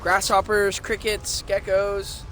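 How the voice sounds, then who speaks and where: A brainy teenage turtle with a high male voice and a dry, sardonic delivery. It is cartoon game acting with music clearly behind it. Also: completely flat delivery, slow, sharp tone, heavily acted. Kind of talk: animated video game acting.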